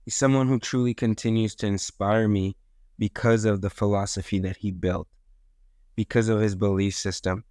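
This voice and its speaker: A man from South Africa, an educator and podcast co-host, speaking in an earnest, measured way. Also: on the low side, a little mellow, no room sound, clean studio sound. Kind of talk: reflective podcast monologue.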